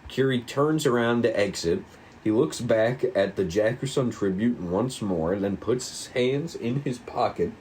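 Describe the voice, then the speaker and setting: A man's voice: medium pitch, mellow. A man narrating a table read, reading stage directions aloud on a slightly noisy recording.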